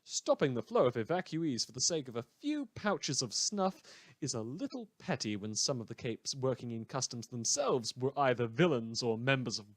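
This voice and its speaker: A man's voice: mid-range voice, fairly bright tone, no room sound. A man playing a sardonic, rambling radio news host, delivering satirical scripted news with wry, theatrical asides. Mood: sardonic, chatty. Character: sardonic, rambling madman community radio newscaster in fiction.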